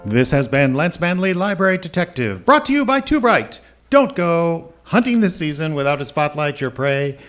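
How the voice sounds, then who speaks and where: Male actor as old-time radio announcer, sponsor read, higher-pitched, clear music behind. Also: big pitch swings, normal speed, fairly bright tone. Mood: showy. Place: theater stage.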